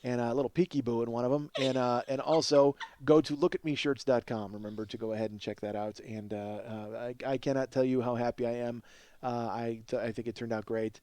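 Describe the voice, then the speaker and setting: A man's voice: medium pitch, little pitch movement, fairly quick, warm tone. An American man hosting a comedy podcast in a casual, rambling, self-deprecating and profane monologue.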